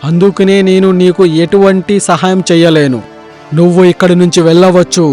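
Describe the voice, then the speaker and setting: A man voicing a partial, dismissive king in an animated story, speaking with authority. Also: high-pitched, brisk, faint music behind, natural voice. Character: partial, dismissive king favouring his friend.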